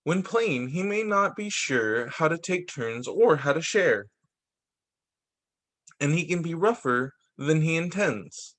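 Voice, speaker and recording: A man with a fairly high voice reads a picture book to children in a teacher's story-time style. His pitch swings widely as he goes, with expressive, deliberate emphasis and occasional restarts. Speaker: teacher reading picture books.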